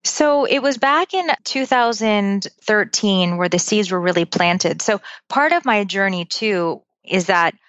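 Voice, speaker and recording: A woman's voice: mid-range voice, animated, unhurried, hardly any pauses, fairly bright tone, dry recording. A woman in her forties, a guest author, answering interview questions with methodical, date-by-date storytelling. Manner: methodical, dates-driven storytelling. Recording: dull lo-fi audio.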